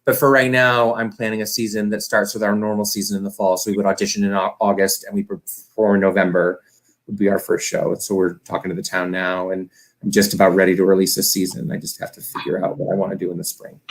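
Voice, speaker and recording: A man's voice: low-pitched, flat delivery, fast, rough recording. A man speaking conversationally as an arts director and guest, earnest and effusive, in long wordy sentences. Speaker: theatre company director, guest. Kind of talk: conversational talk about running an arts organisation.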